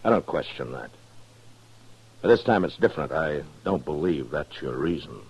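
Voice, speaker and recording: Very deep-voiced, stern frontier marshal. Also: male voice, animated, creaky, a little mellow, husky, heavily acted. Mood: calm, firm. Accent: American. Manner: measured, terse, unflappable. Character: calm, stern frontier marshal, resolute lawman. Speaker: actor in a radio western. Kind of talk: 1950s radio drama acting. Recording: dull 1950s radio recording.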